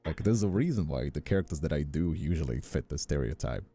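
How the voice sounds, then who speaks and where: A man in his twenties who sounds older than his years, chatting casually on a livestream in a halting, rephrasing way. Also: a bass voice, animated, warm tone, soft background music. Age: 20s. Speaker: ASMR streamer and voice actor.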